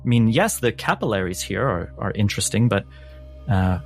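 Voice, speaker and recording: A man's voice: on the low side, lively intonation, normal speed, soft background music. A man voicing a proud, plainspoken female surgeon with a theatrical streak in a tabletop game. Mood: unimpressed.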